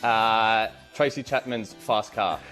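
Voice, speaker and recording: Lower-voiced laconic Australian man. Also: slow, fairly bright tone, faint music behind. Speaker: groom being interviewed. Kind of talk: casual chat.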